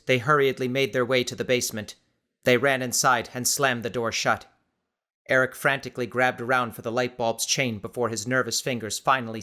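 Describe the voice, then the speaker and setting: A man reading dramatic scripted audiobook narration in a measured, suspenseful manner. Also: medium pitch, pushed and bright.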